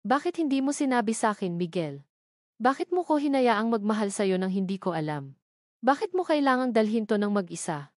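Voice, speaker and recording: A woman plays a young woman deeply in love, tender and set on standing by her partner. It is romantic drama dialogue in a middle-pitched voice. The sound is close and dry. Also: big pitch swings, fairly quick, no echo, close to an everyday voice. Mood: hurt, pleading.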